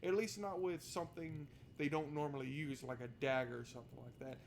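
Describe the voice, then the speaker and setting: A man with a somewhat high voice plays through a story game, reading the narration aloud. He breaks off for sarcastic, exasperated commentary. There is some background noise in the recording. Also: steady tone, a little fast, creaky. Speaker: video game streamer. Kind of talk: let's play commentary with read-aloud narration.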